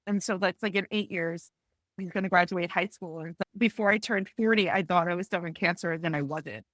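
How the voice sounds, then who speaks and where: A woman in her early forties giving personal testimony on a panel, speaking candidly and haltingly, often circling back to repeat phrases. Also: lowish voice, a bit bright, dry recording. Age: early 40s. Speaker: panelist, cancer patient and mother.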